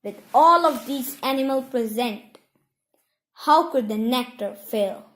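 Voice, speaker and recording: A woman reads fiction aloud in a fairly high voice. Her narration is slow and measured, broken into short phrases. The recording is roomy and echoing. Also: a bit bright. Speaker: audiobook reader. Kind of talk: scripted story narration.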